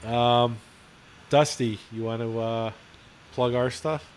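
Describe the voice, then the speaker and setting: A man co-hosting a podcast in relaxed casual chat, halting and hesitant with fillers. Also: mid-range voice, slight background noise. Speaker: podcast co-host.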